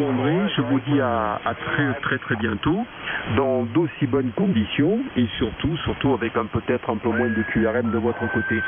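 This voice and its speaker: A man from France, chatting as a radio operator in a courteous, wordy way with frequent pauses. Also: mid-range voice. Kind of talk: radio contact chat. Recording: phone-like shortwave radio, loud interfering voices.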